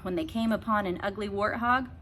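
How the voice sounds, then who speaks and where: A woman reading a children's book aloud expressively, with emphatic phrasing and dramatic pauses. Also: mid-range voice, fairly bright tone. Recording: faint background noise.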